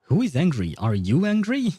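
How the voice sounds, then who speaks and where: A man chatting casually on a livestream while gaming, with a halting, rambling, amused way of talking. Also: a little high, wildly swooping pitch, dark tone, breathy edge, dry recording.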